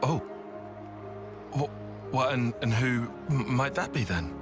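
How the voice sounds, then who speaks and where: A man playing an earnest, reserved young soldier with measured, formal speech in a video game cutscene. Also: mid-range voice, lively intonation, over music. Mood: flustered.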